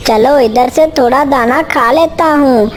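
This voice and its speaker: A woman's voice: high-pitched, a little slow. A woman voicing a greedy, scheming male bird in a children's cartoon story, with music behind. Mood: slyly helpful. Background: faint music.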